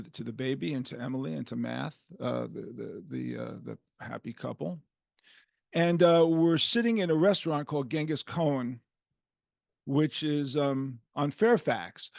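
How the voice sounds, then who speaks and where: An older man with a New York accent, hosting a podcast and telling rambling stories with animated quoted voices. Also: medium pitch, creaky, no echo, clean studio sound. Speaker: podcast host. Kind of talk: casual podcast chat.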